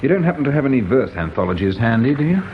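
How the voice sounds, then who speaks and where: An actor playing a shrewd, dry middle-aged English police inspector in a radio drama, speaking in a measured, thoughtful, probing way. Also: male voice, mid-range voice, very animated, lo-fi sound, a little hiss or hum, natural voice. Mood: musing. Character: shrewd, dryly patient male police inspector, musing and probing.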